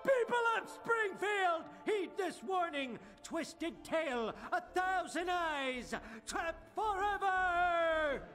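An actor playing a frantic older man shouting doomsday warnings at a church crowd. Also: very high voice, lively intonation, slow, few pauses, soft background music, full character voice. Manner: shrieking, wild-eyed warnings.

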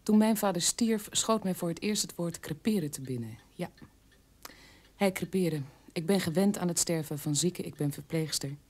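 An actress playing a hardened, unsentimental nurse in a radio drama, speaking coldly and matter-of-factly. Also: female voice, on the low side, moderate pace, a little mellow, close to an everyday voice. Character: hardened, unsentimental nurse, a daughter without pity.

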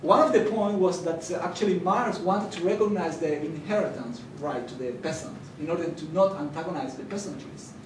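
A young Chilean man with a Spanish accent talks earnestly on a conference panel, stumbling and restarting as he goes. His voice sits a little high for a man. It comes from a distance in a reverberant hall with steady background noise. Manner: earnest, halting, with many fillers and restarts. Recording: echoey hall, distant mic, noisy.

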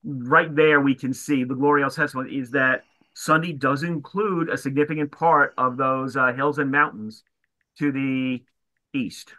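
A man's voice: mid-range voice, steady tone, a little slow, bright, forceful tone, low-quality recording. A man co-hosting a casual lore discussion, hesitant with many fillers, now and then reading passages aloud. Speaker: co-host.

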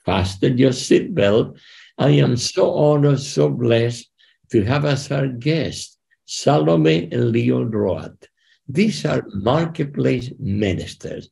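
A man's voice: medium pitch, vocal fry, warm tone. An older man hosting a faith podcast over a video call from his living room, speaking with fervent, preacher-like emphasis. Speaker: podcast host. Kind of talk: conversational interview.

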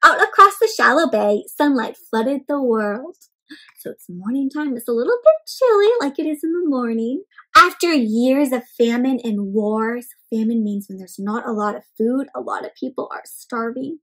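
A young woman hosting an interactive read-aloud for small children, expressive and full of questions addressed straight to her listeners. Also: on the high side, normal speed, rough recording. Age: young adult.

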